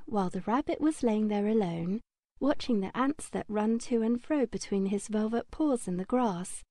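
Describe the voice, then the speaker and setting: A woman narrating a children's audiobook in a gentle, measured storytelling manner. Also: fairly high pitch, dry recording, studio quality.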